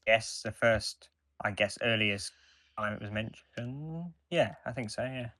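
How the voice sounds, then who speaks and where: A man with a fairly low voice speaks as a podcast co-host. The sound is close and very dry, and he talks in a casual, conversational review style. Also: measured pace, sharp tone, dry recording.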